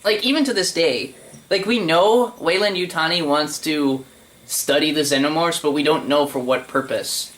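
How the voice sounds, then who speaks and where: A man's voice: fairly high pitch, normal speed, a bit bright. A man hosting a gaming video, giving casual reaction commentary in an opinionated, colloquial way.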